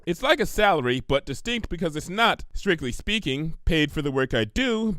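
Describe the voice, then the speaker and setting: A man's voice: on the high side, fairly bright tone, no echo. A man voicing a prim, studious young female unicorn pony with a precise, pedantic, dignified way of talking, in a fan fiction reading.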